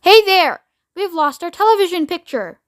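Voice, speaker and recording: A blustering, self-important male president who barks and shouts, as a woman narrator puts him on. The voice sits high and tight, and it is recorded close and dry with no room sound. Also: animated, unhurried, no echo. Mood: boastful.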